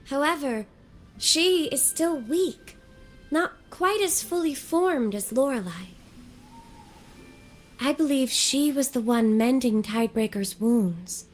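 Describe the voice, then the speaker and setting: A woman voicing a gentle, earnest young female scholar in a video game, speaking in a measured, articulate, softly formal way. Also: high-pitched, lively intonation, soft background music. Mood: earnest, explanatory. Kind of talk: video game character dialogue.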